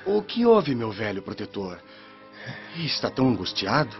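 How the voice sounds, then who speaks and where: An actor playing a devoted young Roman soldier and adopted son in a radio drama, speaking in an earnest, respectful, formal way. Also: male voice, medium pitch, sing-song, normal speed. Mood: concerned, respectful. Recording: muffled lo-fi, music underneath.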